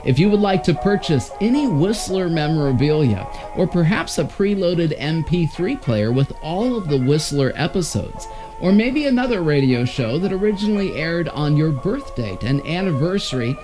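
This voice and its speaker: A man presenting an old-radio showcase in scripted narration. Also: a little high.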